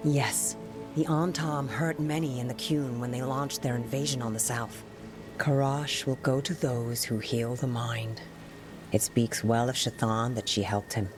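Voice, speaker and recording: An actor playing a Qunari scholar and translator, grave and formal. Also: female voice, rumbling low, fairly even intonation, measured pace. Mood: solemn. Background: music.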